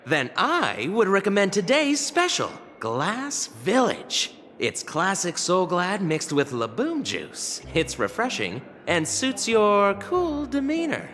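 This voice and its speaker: A man's voice: sits high, huge pitch swings, takes it slowly, few pauses, pushed and bright, heavily acted. A man playing a courteous, showy bartender in a video game, with polite, florid salesmanship. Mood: courteous, promotional. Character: courteous, showy male bartender serving guests. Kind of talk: video game character acting. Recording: long reverb, faint music behind.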